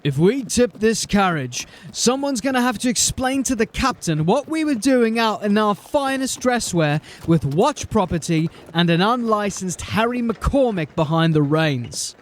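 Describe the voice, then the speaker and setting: A man playing a brisk, dryly authoritative police sergeant in a fantasy audio drama, speaking in a clipped, formal, wry way. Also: high voice, animated, a little hiss or hum. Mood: wary, exasperated.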